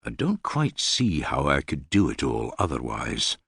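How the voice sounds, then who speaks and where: A man's voice: extremely low pitch, huge pitch swings, measured pace, fairly bright tone, no echo, full character voice. A man voicing a self-assured Norse earl in an audiobook, with a dry, amiable irony. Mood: dryly ironic. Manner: dry, amiable, gravely ironic. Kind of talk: audiobook character dialogue.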